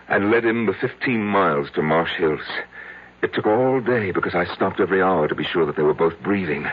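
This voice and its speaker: An actor playing a haunted man confessing his strange past, with halting, repetitive, dramatic delivery in an old-time radio drama. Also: deep voice, breathy edge. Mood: agitated, halting. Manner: halting, repeating, dramatic pauses. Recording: noisy, dull old radio broadcast.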